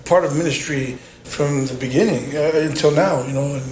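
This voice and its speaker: A man's voice: fairly high pitch, moderate pace, darkish tone. A man being interviewed on a podcast, talking sincerely with many fillers and easy laughs. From United States. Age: adult. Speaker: podcast guest. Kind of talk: casual interview chat. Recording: roomy with faint background noise.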